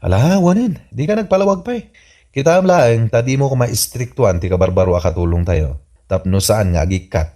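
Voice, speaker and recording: Dismissive comic husband, male actor, mellow dark tone, big swings in pitch, argumentative. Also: animated, brisk, close to an everyday voice. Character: defensive husband arguing with his suspicious wife. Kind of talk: comedy radio drama acting.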